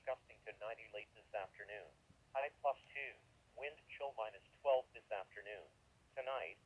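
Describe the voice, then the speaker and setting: Synthetic male voice, mid pitch, formal weather forecast readout, clipped phrasing, light hiss, weather radio. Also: low-quality recording. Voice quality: synthetic text-to-speech voice. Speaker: automated weather forecast announcer. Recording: hissy weather radio broadcast.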